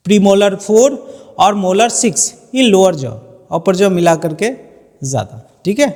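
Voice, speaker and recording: A man gives a school science lecture in a notably high voice. He explains didactically, slipping English terms into his speech and answering his own questions. The recording carries a long, hollow reverb. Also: a little mellow. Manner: didactic, asks questions and answers them himself. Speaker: biology teacher. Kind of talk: classroom lecture mixing Hindi with English terms.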